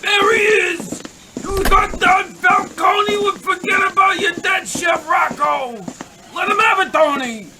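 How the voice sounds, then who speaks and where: An actor playing a loud, theatrical mobster debt collector in a shouty, melodramatic radio comedy style. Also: very high-pitched, takes it slowly, very noisy, full character voice. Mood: menacing. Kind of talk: old-time radio comedy acting.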